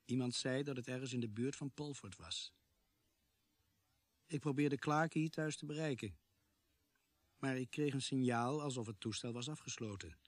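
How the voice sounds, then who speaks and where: An actor playing an earnest policeman who tells his story gravely and with measure in a radio drama. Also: medium pitch, moderate pace, long pauses, dry recording, lightly acted. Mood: sober. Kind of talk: radio drama acting and narration.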